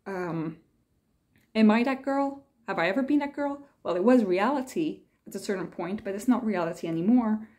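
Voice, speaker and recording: A woman's voice: mid-range voice, animated, normal speed, a little mellow. A woman vlogger talking casually and reflectively in a hesitant, rambling way, reading quotes aloud between her own thoughts.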